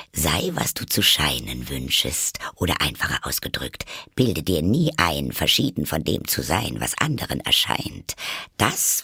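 A man voicing a smug, moralizing duchess who lectures in a low, whispery, self-satisfied tone. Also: vocal fry, sharp tone, husky, no echo, clean studio sound, heavily acted.

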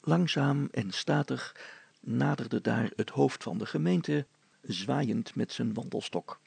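A man reads story narration in a mid-pitched voice. It is heavily creaky and rough, and recorded dry. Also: takes it slowly, darkish tone, dry recording.

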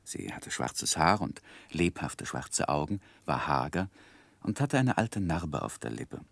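An actor narrating a 1960s German radio play as a man looking back, in a measured, reflective literary style. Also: very deep voice, sing-song, creaky, no room sound, full character voice. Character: David Copperfield as reflective adult narrator.

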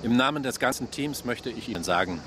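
A man's voice: medium pitch, lively intonation, fairly quick, background noise, lightly acted. An actor playing a formal, reassuring male official in a German radio drama. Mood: polite, reassuring.

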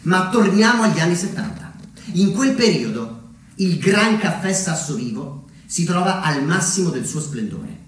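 Guy telling a story on stage, highish voice, measured w dramatic pauses, super echoey and a bit far from mic. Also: sing-song. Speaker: theatre monologist, storyteller. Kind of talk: scripted theatrical narration. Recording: very echoey room, distant mic, slight noise.

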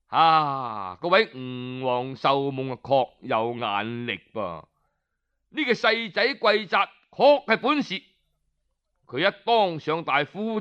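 A man telling historical tales in Cantonese in an expressive, colloquial traditional storytelling style. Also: high-pitched, smooth. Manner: expressive, colloquial, with rhetorical interjections. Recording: dull lo-fi audio.

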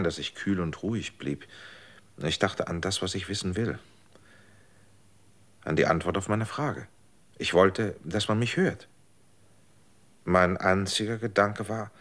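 A man's voice: sits low, unhurried, long pauses. An actor in a radio drama playing an anxious, probing man who cannot explain why he is still alive, speaking in a searching, insistent way. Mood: questioning. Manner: searching and insistent, swings between hushed and urgent. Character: anxious, probing man who survived his fated hour. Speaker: lead character.

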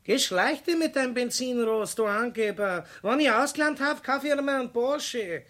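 A man's voice: very high-pitched, expressive, normal speed, fairly bright tone. A young male actor playing a brash teenage apprentice in a radio play, boastful and defiant.

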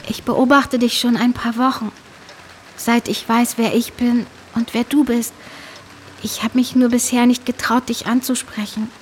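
High-voiced, searching young woman, played by an actress. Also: little pitch movement, measured pace, slight background noise, close to an everyday voice. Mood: confessing, pained. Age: young adult. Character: long-lost abducted younger sister posing as drenched lost hiker. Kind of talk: naturalistic German radio drama acting.

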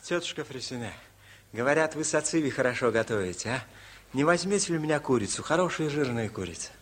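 An actor playing a cheeky, lovesick young village driver in a 1950s radio comedy, theatrical and wheedling. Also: male voice, mid-range voice, animated, quick pace, natural voice.

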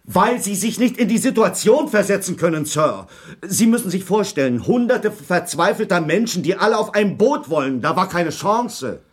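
An actor in a German radio documentary drama playing a defensive British ship's officer testifying at an inquiry, answering firmly, formally and in clipped phrases with some hesitation. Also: male voice, high-pitched, expressive, moderate pace, breathy edge. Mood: defensive, insistent.

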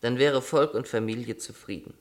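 A man's voice: medium pitch, moderate pace, lightly acted. An actor playing a teasing, affectionate young man, an easygoing brother with a chatty, wry manner in a radio play. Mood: wry, reading aloud. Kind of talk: German radio play acting.

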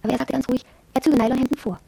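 An actress playing a proud, headstrong young shop apprentice, confiding matter-of-factly in a radio drama monologue, with a husky voice. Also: female voice, high voice, fairly even intonation, racing, dark tone, no room sound, full character voice, rough recording. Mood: intrigued, recounting.

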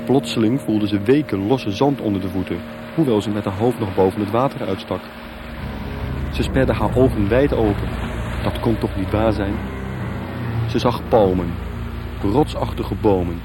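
Guy reading a dramatic radio story, lowish voice, music playing underneath. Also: moderate pace, long pauses. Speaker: narrator. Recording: music bed behind.

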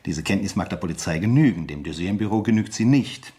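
An actor playing a suave, sardonic French army interrogation officer in a German radio drama, politely ironic with hesitant pauses. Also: male voice, sits low, expressive, warm tone. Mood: probing, sardonic.

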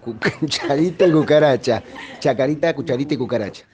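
A man's voice: medium pitch, fast talker. A man, chatting casually as a street interviewee. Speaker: vox pop interviewee. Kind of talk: casual chat. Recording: crowd noise behind the voice.

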